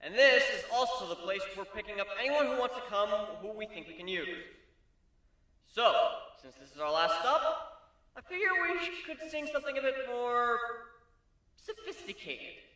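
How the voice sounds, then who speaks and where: A man's voice: very high-pitched, normal speed, sharp tone, very echoey, far from the mic, pitched above the performer's own voice, poor audio. A man voicing a brash, cocky male griffin who teases and shows off in a dramatic story reading. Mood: brash showman. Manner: cocky, teasing, showy.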